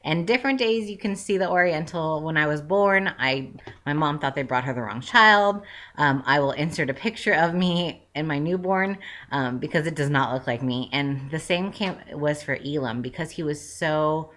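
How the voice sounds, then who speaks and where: A woman's voice: low voice, normal speed, a bit bright. A woman in her late twenties giving a casual, rambling update to her viewers, with frequent "um" fillers and self-corrections. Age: 20s. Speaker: vlogger.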